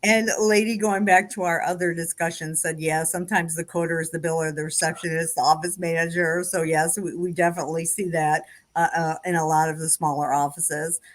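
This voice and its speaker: A woman hosts a relaxed podcast chat. She tells stories in a rambling, friendly way, full of fillers and restarts, with a voice pitched a little low. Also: a little fast. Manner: chatty storyteller, frequent fillers and restarts, laughs often. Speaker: podcast host. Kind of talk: casual conversation.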